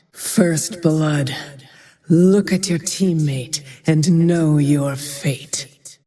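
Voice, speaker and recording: Grim female avenger archer for a fantasy game: slow, dark-toned, merciless and composed, in long reverb. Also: low-pitched, full character voice. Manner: cold, brooding, measured, often dropping to a breathy whisper. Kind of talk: dramatic video game voice lines.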